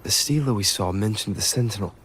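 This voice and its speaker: A man's voice: fairly low pitch, brisk. A man giving casual gameplay commentary as a streamer, with noticeable noise in the recording. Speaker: game streamer.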